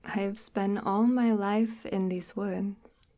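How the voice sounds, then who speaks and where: Casting: woman as coaxing forest witch for ASMR roleplay; mellow, breathy, near-whispered, very unhurried, formal, softly menacing. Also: medium pitch, steady tone, close to an everyday voice. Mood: quietly ominous. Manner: unhurried, formal, archaic-tinged, gently menacing. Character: soft-spoken, sinister, coaxing forest witch luring a lost traveler. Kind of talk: ASMR audio roleplay, slow intimate monologue.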